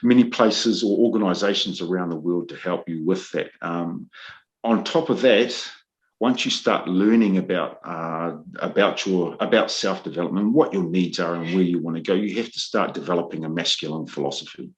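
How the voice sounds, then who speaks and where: A middle-aged man with a New Zealand accent, a guest on a podcast, speaking earnestly and pausing with frequent ums and uhs. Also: low-pitched, lo-fi sound. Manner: earnest, searching for words with many ums and uhs. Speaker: guest, men's leadership mentor. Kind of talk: podcast interview.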